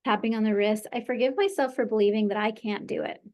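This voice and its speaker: A woman's voice: fairly high pitch, fairly even intonation, quick pace, darkish tone. A woman practitioner guiding a client through a tapping session, encouraging her and leading phrases for her to repeat.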